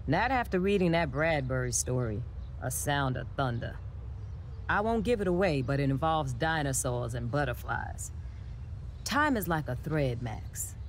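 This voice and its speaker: Low-voiced, sensible woman teacher. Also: big pitch swings, slight background noise. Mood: wry, thoughtful. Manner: measured, matter-of-fact, explanatory. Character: woman science teacher, sensible, skeptical, caring. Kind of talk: video game dialogue acting.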